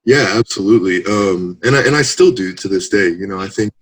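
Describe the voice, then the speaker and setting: A man's voice: low voice, brisk, slightly rough, poor audio. A man in his late twenties, a guest in a casual interview, talking in a thoughtful, candid way and laughing between points. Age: 20s.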